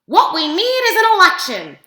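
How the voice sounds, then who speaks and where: A woman voicing an indignant, ambitious farm duck from a storybook, declaring its protest loudly. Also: very high-pitched, wildly swooping pitch, moderate pace, pitched above the performer's own voice, heavily acted. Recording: roomy, echoing room sound.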